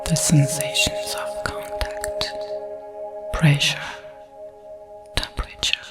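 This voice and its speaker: A woman with a French accent guiding a meditation in a breathy ASMR whisper, soothing and deliberate. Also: medium pitch, over music.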